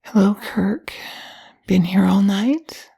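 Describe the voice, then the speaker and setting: A woman's voice: medium pitch, slow pace, creaky, dark tone, husky, pitched above the performer's own voice, heavily acted. A woman voicing a flippant, boozy young dancer from a 1930s story, with slangy, teasing talk.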